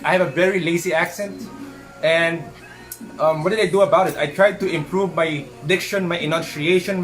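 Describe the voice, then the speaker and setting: Earnest young man, fairly high voice. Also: fairly bright tone. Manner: earnest, switches between English and Tagalog; says he stutters when his thoughts run fast. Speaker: pageant titleholder answering fan questions. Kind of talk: casual live-stream Q&A.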